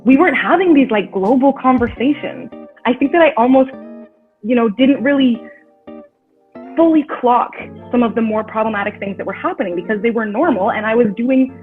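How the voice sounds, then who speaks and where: A woman, a stage actor, talking openly and chattily in an interview. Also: a little high. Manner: open, chatty, lots of "like" and "you know". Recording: husky phone-like lo-fi audio.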